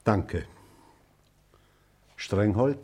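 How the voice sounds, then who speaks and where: An actor playing an old, authoritative schoolteacher with a measured, schoolmasterly manner in an acted drama. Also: male voice, fairly low pitch, animated, darkish tone, slightly rough, full character voice. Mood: measured authority. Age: older. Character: deliberate, authoritative old schoolteacher leading a class. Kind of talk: acted radio-play dialogue.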